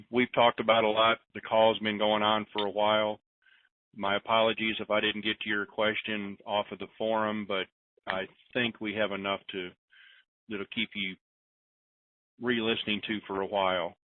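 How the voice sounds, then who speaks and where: A man's voice: fairly low pitch, flat delivery, moderate pace, a little hiss or hum. A man hosting a conference call, reading listener questions aloud and answering in a rambling, self-deprecating, joking way. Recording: tinny phone line.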